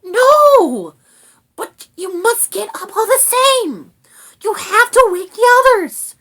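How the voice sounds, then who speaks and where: A woman voicing an indignant little female rat in a storybook, squeaky and snappy, scolding and frantic. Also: big pitch swings, slow pace, a bit bright, heavily acted, low-quality recording. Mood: frantic, urgent. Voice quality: shrill, squeaky.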